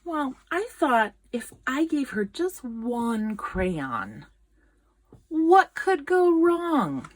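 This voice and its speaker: A woman reading a children's picture book aloud in an expressive, dramatic storytelling manner. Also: sits high, very slow. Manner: expressive, dramatic, with gasps and whispered asides.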